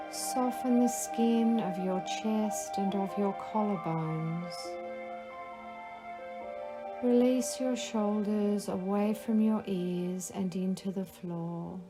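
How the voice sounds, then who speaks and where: A woman guiding a relaxation meditation, giving slow, soothing instructions. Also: medium pitch. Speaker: meditation guide. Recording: faint music behind the voice.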